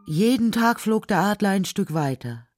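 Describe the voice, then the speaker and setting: A woman's voice: medium pitch, expressive, normal speed, a little mellow. A woman narrating a children's radio drama as a scripted storyteller.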